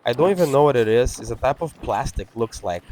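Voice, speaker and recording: A man whose first language is Portuguese, speaking English with an accent as he talks over his window cleaning video, halting and full of fillers. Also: medium pitch, expressive, a little mellow, a little hiss or hum, poor audio. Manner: halting, many fillers and restarts. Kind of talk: casual talk-over.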